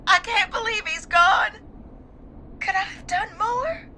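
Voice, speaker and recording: A woman playing a grieving, shaken caller, tearful and halting, in dramatic game acting. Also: very high voice, expressive, sharp tone, a little hiss or hum, heavily acted. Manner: halting, fragile.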